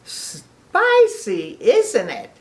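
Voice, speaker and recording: A woman voicing an excitable, pushy young pig friend in a children's picture book, with drawn-out, emphatic exclamations. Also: mid-range voice, heavily acted. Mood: eager. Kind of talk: animated children's picture-book read-aloud.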